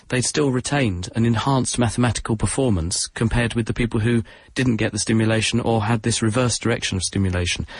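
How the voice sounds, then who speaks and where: Low-voiced southern English man explaining science. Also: husky, dry recording. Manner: relaxed explainer, self-deprecating jokes. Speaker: science expert guest on radio. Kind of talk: explaining science on call-in radio.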